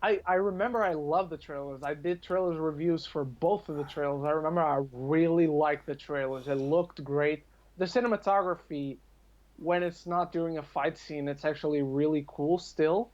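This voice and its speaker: A man from Portugal chatting casually about films, telling stories in an animated, emphatic way as a podcast guest. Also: fairly high pitch, normal speed, highs cut off. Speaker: podcast guest and film reviewer. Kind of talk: casual film chat.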